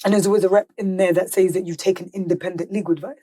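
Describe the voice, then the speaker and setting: A woman's voice: on the low side, steady tone, racing, mellow. A woman speaking as a podcast guest in a casual panel discussion, blunt, emphatic and sure of herself. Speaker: podcast guest, music legal and business affairs manager.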